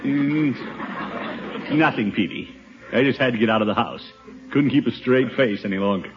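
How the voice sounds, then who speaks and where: An actor playing a pompous, blustering middle-aged American bachelor uncle in a 1950s radio situation comedy. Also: male voice, mid-range voice, measured pace, music in the background, natural voice. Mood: chummy, amused. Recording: dull old 1950s radio recording.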